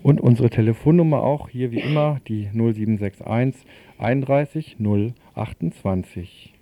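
A man's voice: mid-range voice, normal speed, dark tone. A man reading news summaries as a radio presenter.

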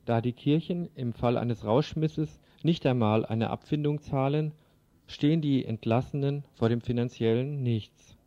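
A man's voice: mid-range voice, measured pace, warm tone. A man reading a scripted radio report as presenter, in a formal manner. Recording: slightly dull lo-fi radio recording.

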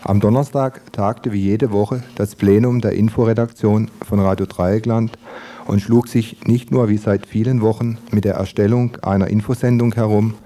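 A man hosts a local radio news show. His voice sits fairly low and has a very mellow, relaxed tone. He presents items and interviews as a radio newsreader would.

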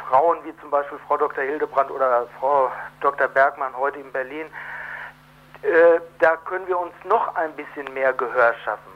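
A man speaking as an activist guest in a live radio interview, halting, earnest and colloquial, over the telephone. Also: fairly high pitch. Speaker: activist guest from an unemployed group. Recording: muffled, dull telephone line.

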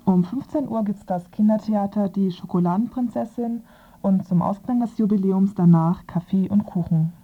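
A woman reporter narrating a scripted radio magazine piece. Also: mid-range voice. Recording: thin, phone-like lo-fi radio sound.

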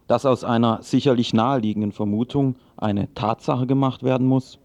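A man's voice: mid-range voice, fairly even intonation, moderate pace, a little mellow, no room sound. A man reading scripted political commentary in a sober, formal, critical manner. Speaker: radio news commentator.

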